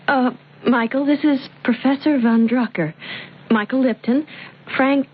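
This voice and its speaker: A woman's voice: high voice, steady tone, a little slow. An actress playing a dreamy, rapt, fragile young woman in an old-time radio drama, fluttery in manner. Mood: flustered. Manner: breathy, fluttery. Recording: narrow tinny old radio recording.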